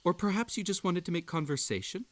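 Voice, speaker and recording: A man playing an obsessive, unhinged magical hare hosting a tea party in a roleplay audio, with a theatrical, halting delivery that swings between sweet coaxing and menace. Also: high-pitched, expressive. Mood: doting, obsessive. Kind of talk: roleplay audio acting, intimate second-person address.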